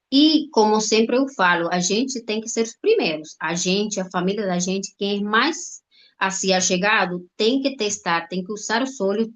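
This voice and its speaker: Cuban woman, dull lo-fi call audio. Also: mid-range voice. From Cuba. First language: Spanish. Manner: halting, with frequent check-in tags. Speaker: guest speaker, doctor. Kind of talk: informal personal testimonial.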